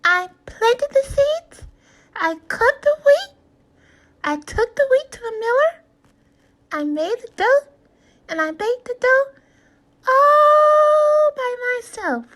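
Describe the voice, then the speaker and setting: Woman voicing a high-pitched, emphatic little red hen. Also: sing-song, slow, pauses often, fairly bright tone, heavily acted. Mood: indignant, triumphant. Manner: emphatic, clucking delivery. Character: industrious, indignant little red hen, female storybook animal.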